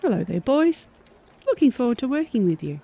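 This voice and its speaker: A woman's voice: a little high, very animated, a little fast, creaky, mellow, breathy edge, heavily acted. A woman playing a bold, fierce werewolf prospecting in the Old West in a horror audio drama, confident and wry. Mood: confident, polite.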